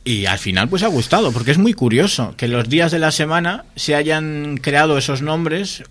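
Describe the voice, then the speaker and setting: Guy with a spain accent just chatting, telling stories, quotes people as he goes, animated. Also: fairly high pitch, moderate pace. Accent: Spanish, from Spain. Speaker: guest, science video creator. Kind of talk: casual chat, telling anecdotes.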